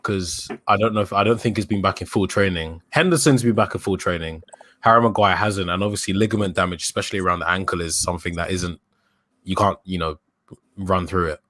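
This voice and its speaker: Young man arguing football, low voice. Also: fairly quick, fairly bright tone. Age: young adult. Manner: thoughtful, builds an argument with hesitations. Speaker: podcast host. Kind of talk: casual football chat.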